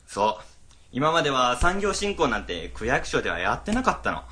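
A man's voice: mid-range voice, sing-song, normal speed, bright, forceful tone. A man playing a harried, grumbling but easygoing office section chief in an amateur radio drama, explaining things casually with exasperation. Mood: exasperated.

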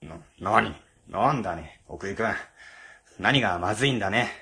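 A man's voice: fairly low pitch, expressive, normal speed, sharp tone. A man playing a timid, blustering middle-aged section chief in a comedic radio drama, flustered and pompous. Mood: pompous worry.

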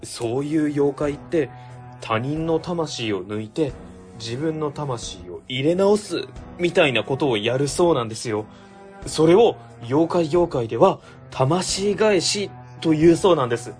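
A man playing an earnest, polite young junior office worker who explains things excitedly in a comic radio drama. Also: fairly high pitch, unhurried, faint music behind. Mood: excited theorizing. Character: earnest, eager, polite young male junior civil servant.

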